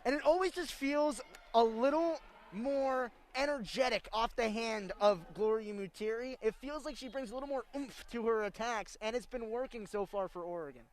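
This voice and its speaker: A woman's voice: a little high, normal speed, a little mellow. A young woman giving live color analysis during a radio broadcast of a volleyball match. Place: sports arena broadcast.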